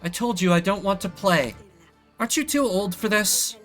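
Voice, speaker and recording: A man's voice: high-pitched, moderate pace, a bit bright, faint music behind, voice pushed up. A man voicing a bickering young princess, sulky, snippy and taunting, in a fantasy game.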